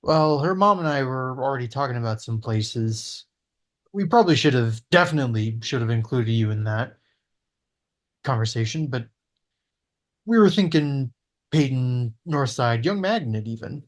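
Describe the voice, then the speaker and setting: Man reading a hesitant, politely awkward stepdad, middle pitch. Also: animated, normal speed, lightly acted. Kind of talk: amateur table read.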